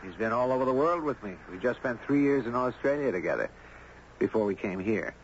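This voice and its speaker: A man's voice: mid-range voice, animated, fairly quick, natural voice. An American actor playing a friendly, easygoing man with his dog in a 1970s radio drama, in a casual, conversational way. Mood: playful, easygoing. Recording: dull, noisy old radio recording.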